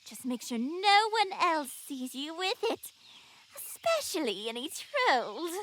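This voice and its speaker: A woman's voice: sits high, sing-song, takes it slowly, fairly bright tone, a little hiss or hum, full character voice. A woman playing a sweet, effusive, easily won-over fairy woman in a comedic fantasy audio drama. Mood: agreeable. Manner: gushing, effusive.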